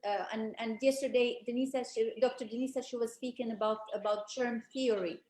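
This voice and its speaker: A woman's voice: on the high side, fairly even intonation, fairly quick. Chatty woman moderator in a roomy space. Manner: warm, chatty, many fillers. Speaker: panel moderator. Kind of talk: moderating a conference panel.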